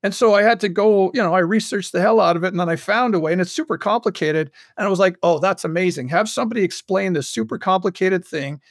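A man talking casually as the creative guest in an interview, telling stories in an animated, self-interrupting way and acting out bits of dialogue. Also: high voice, fast, few pauses, dry recording, clean studio sound. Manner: animated, self-interrupting storyteller who acts out quoted dialogue. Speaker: guest, audio drama creator and writer.

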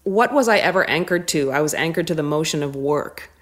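Low-voiced woman in reflective chat. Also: animated. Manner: self-questioning, halting, thinks aloud. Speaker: guest, touring musician. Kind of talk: casual reflective podcast chat.

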